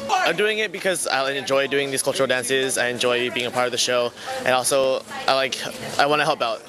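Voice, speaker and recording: A man's voice: medium pitch, a little fast, over music. A young man speaking casually in an interview as a student dance captain. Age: young adult. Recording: crowd and outdoor noise behind.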